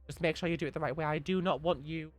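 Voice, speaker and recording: A young woman, a little hoarse from being sick, gently answering chat questions on a live stream and repeating her reassuring advice. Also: low-pitched, steady tone, rapid-fire, soft background music.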